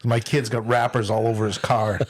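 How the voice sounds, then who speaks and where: A man's voice: on the low side, flat delivery, brisk, dry recording, studio quality. Man hosting, teasing his guests. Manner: teasing, steering the talk. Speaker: host. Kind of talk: casual chat.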